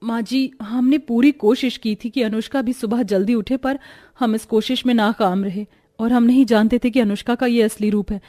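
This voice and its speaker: Cartoon sister-in-law female actor, high-voiced, sensible and dutiful, explains things earnestly. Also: fairly even intonation, normal speed, dark tone, close to an everyday voice. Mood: pleading. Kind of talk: Hindi cartoon story dialogue.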